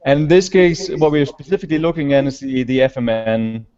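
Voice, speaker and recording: A man with a German accent giving a remote conference talk, halting with frequent fillers and restarts. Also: medium pitch, fairly even intonation, mellow. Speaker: conference speaker. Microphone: video call. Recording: dull lo-fi call audio.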